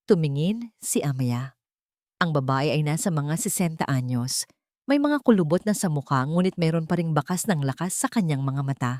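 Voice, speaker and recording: A woman narrates scripted drama in a low register. The sound is dry, with very little room around it. She delivers it as a storyteller reading fiction. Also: lively intonation, normal speed, darkish tone, dry recording.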